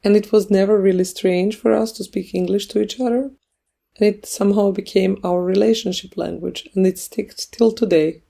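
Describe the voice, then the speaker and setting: A woman in her thirties, a native Serbian speaker, hosting a podcast in thoughtful, unhurried English as a second language. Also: mid-range voice, steady tone, gravelly, mellow. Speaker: podcast host. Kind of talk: casual solo podcast talk.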